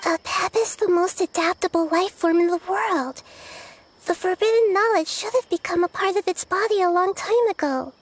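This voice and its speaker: A woman voicing a young, wise goddess who speaks in a calm, measured, reflective way. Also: extremely high pitch, a little hiss or hum. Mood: worried. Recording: game audio with music behind.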